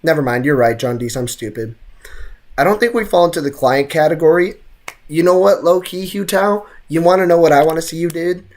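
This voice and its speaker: A man's voice: fairly high pitch, a little fast, a little mellow. A young man giving casual, slangy game commentary and joking with his livestream chat. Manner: slangy, jokey, theatrical outbursts.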